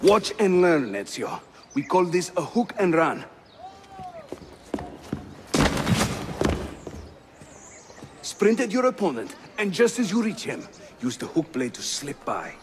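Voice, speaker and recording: A man's voice: high-pitched, very animated, normal speed, over music. An actor playing a jovial, roguish Ottoman assassin guide in a video game, with a Turkish accent and a theatrical, teasing manner. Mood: playful, proud. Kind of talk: video game cutscene acting.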